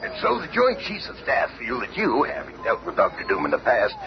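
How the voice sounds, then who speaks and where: An actor playing a gruff, blustering army general with a gravelly voice and a clipped official manner. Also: male voice, medium pitch, wildly swooping pitch, brisk. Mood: urgent, official. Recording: noisy muffled old radio recording.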